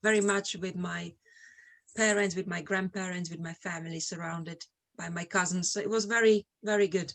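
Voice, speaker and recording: Middle aged slovak lady, lowish voice, just chatting in an interview. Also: steady tone, normal speed. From Slovakia, Eastern Europe. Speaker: interview guest. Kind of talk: casual conversational interview, personal recollections.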